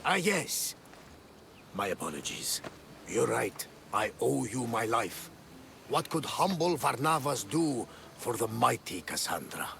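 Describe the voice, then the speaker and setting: Game sea captain: hearty, wry older seafarer, heavy gravel, unhurried theatrical delivery, faint ambience behind. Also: male voice, mid-range voice, big pitch swings, fairly bright tone, slight background noise, full character voice. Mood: wry, grateful. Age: middle-aged. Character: boisterous one-eyed old sea captain, grateful, wry. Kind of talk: video game cutscene acting.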